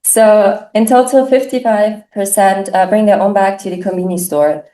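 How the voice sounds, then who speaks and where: A teenage girl from Germany, a native German speaker, presenting survey results with frequent pauses. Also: mid-range voice, fairly even intonation, warm tone, reverberant, low-quality recording. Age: teens. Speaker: student presenter. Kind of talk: class presentation.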